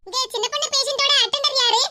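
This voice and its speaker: A woman's voice: extremely high pitch, fast, pushed and bright, voice pushed up, exaggerated voice. A woman voicing a curt, businesslike hospital nurse.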